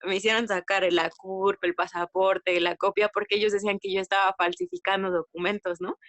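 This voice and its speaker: A woman from Mexico with a Mexican accent, chatting casually as a podcast guest, retelling anecdotes in an animated way with slang and quoted dialogue. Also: medium pitch, brisk, dry recording. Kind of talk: casual conversational storytelling.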